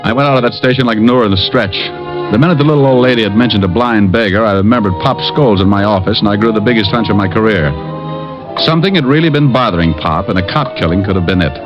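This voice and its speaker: A wisecracking private detective, played by a man narrating in a vintage radio drama. His lowish voice is dry and easy. The old recording sounds dull, with faint music behind. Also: fast, lightly acted.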